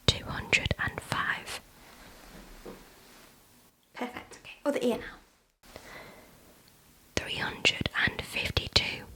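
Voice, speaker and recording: A woman plays a nurse in an ASMR exam roleplay, slipping between soft speech and whisper. Her voice is high and breathy. She guides the listener step by step in a gentle, reassuring way. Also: rough recording. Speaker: ASMR creator playing a nurse.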